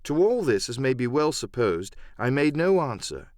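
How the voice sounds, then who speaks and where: A man reading dramatic first-person adventure narration for an audiobook, measured and literary. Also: mid-range voice, big pitch swings, a little mellow, no echo, studio quality.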